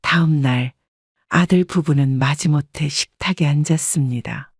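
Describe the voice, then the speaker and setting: A woman calmly narrating a family story in the first person as a scripted audiobook. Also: deep voice, unhurried, husky, no echo, studio quality. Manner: calm, even storytelling.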